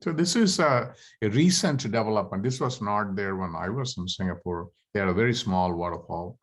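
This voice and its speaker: A middle-aged man, a native Tamil speaker, presenting a study abroad program in a halting way, with many fillers and restarts. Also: on the low side, lively intonation. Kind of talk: informational presentation to prospective students.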